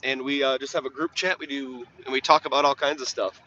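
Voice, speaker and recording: A man's voice: medium pitch, steady tone, quick pace, sharp tone. Laid-back man streaming from a plow truck. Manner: laid-back, rambling, reads chat aloud. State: slightly raspy voice. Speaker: live streamer plowing snow. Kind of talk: casual live-stream chat. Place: inside a truck while plowing. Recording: dull lo-fi stream audio with faint engine noise.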